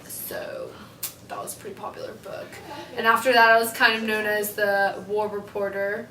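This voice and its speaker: A woman's voice: medium pitch, level tone, normal speed, sharp tone, reverberant, far from the mic. A young woman playing a male novelist on a school mock talk show, rambling casually with many ums. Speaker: student playing a war novelist.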